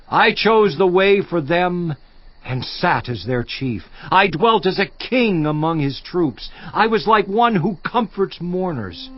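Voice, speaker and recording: An actor playing a suffering, once-honored biblical patriarch in a dramatized scripture monologue, theatrical and declamatory with long pauses. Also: male voice, a little high, dull audio. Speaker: actor in a dramatized audio Bible.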